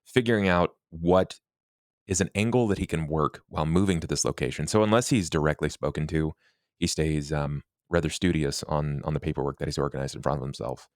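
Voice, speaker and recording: A man playing a tabletop game, talking in a hesitant, deliberate way and repeating words as he describes his character. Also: rumbling low, a little mellow, no room sound, clean studio sound.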